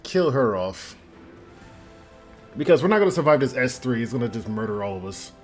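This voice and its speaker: A male game streamer with a medium-pitched, very animated voice keeps up casual, wry commentary. His delivery is informal, full of muttered asides. Game music plays behind him. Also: brisk. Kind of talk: casual live gameplay commentary. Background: game music and character voice lines.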